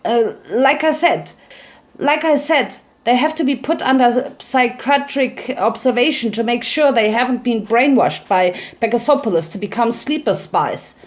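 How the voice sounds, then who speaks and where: A woman's voice: a little high, expressive, unhurried. A woman voicing a slightly frightened yet indifferent government clerk pony, hesitant and bureaucratic, in a story reading. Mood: nervous, evasive.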